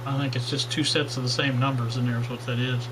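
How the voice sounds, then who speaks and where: A man's voice: medium pitch, little pitch movement, quick pace, noisy background. A man hosting a casual workshop vlog on old radios, talking in a rambling way with many fillers and restarts. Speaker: radio hobbyist channel host.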